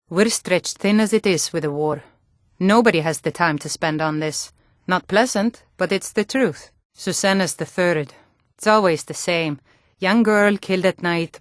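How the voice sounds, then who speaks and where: A woman acting a low, blunt fantasy guardswoman. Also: no room sound, studio quality, lightly acted. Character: stern, world-weary female Nord city guard and townswoman. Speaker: video game voice actor. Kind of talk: fantasy game dialogue.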